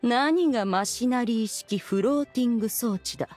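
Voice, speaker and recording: Haughty ancient immortal played by a woman, slightly high. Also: moderate pace, darkish tone, soft background music, natural voice. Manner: archaic, imperious, formal speech. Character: haughty ancient female immortal in human form. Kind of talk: video game voice acting.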